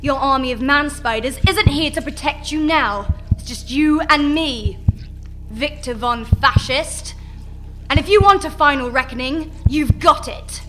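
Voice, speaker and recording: A woman's voice: high voice, measured pace, fairly bright tone, faint music behind, rough recording. A woman voicing a sardonic, unimpressed adventurous heroine in a radio play, talking in a dry, mocking way. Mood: sarcastic.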